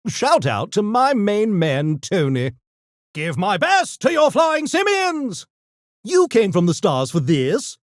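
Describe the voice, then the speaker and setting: An actor voicing a smug, erudite genius gremlin for a video game, with pompous, mock-genteel, theatrical diction that tips into gleeful mania. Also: male voice, sits high, wildly swooping pitch, slow pace, no echo, studio quality, full character voice. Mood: wry, condescending. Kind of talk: video game character voice lines.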